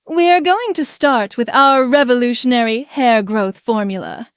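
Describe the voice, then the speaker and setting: Man as smooth, high-pitched commanding glamour saleswoman. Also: unhurried, fairly bright tone, no echo, studio quality, exaggerated voice. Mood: smug, teasing. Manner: purring, commanding showmanship.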